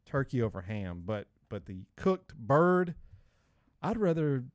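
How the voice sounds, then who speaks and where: Co-host, male, casual and teasing, bursts into excited highs; dry booth sound. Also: slow pace, no room sound. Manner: animated, teasing, jumps to excited outbursts. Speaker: podcast co-host. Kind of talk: casual chat.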